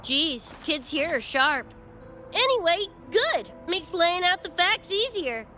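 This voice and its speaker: A woman's voice: extremely high pitch, big pitch swings, slow, bright, forceful tone, full character voice. A woman voicing a brash, cocky young mercenary with slangy, streetwise swagger in a video game. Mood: breezy, enthusiastic. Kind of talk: animated video game voice acting. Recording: music under the voice.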